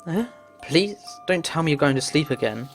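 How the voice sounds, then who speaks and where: A woman's voice: sits low, animated, normal speed, soft background music. A woman voicing a playful, pouty, pleading young girl in a visual novel. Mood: indignant.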